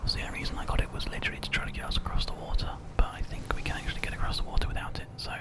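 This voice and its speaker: A British man whispering breathily, very close to the mic, in a gentle, unhurried ASMR game commentary. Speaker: ASMR host and gamer. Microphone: very close microphone.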